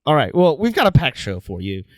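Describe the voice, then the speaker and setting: A man's voice: a little high, wildly swooping pitch, a little fast, no echo. A man in his thirties hosting a podcast, moving between casual chat and scripted narration. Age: 30s. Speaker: podcast host.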